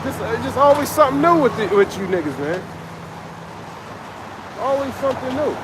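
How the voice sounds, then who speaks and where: A man's voice: extremely high pitch, expressive, normal speed, very noisy. A man preaching on a live stream, reading scripture aloud and expounding it in a confrontational, profane way. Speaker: street preacher.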